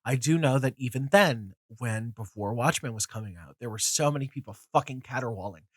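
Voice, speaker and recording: A man's voice: medium pitch, lively intonation, a little fast, no echo. A man in his twenties with a cold and a nasal voice, rambling casually through his opinions with many fillers, restarts and casual swearing. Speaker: comics video host.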